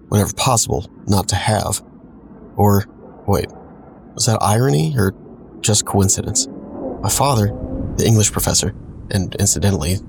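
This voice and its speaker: A man's voice: on the low side, creaky, slight background noise. A man playing a wry, self-deprecating storyteller in an audio drama, narrating his reckless youth with sardonic digressions. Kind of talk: scripted first-person audio drama narration.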